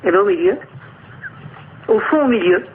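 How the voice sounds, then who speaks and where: A woman's voice: medium pitch, lively intonation, normal speed. A middle-aged woman in a casual phone conversation, answering in an obliging, matter-of-fact way. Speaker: member of the public receiving a prank call. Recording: tinny phone line with faint noise.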